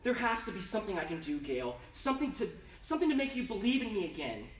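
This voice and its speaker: A man's voice: sits high, very animated, fast talker, pitched above the performer's own voice. A man playing an anxious, self-loathing husband who begs desperately and repetitively in a live story reading. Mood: panicked pleading. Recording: roomy, distant, noisy live event recording.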